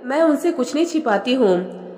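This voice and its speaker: A woman narrating a moral story in an even, measured reading voice, doing all the characters herself. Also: sits high. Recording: echoey room with long reverb.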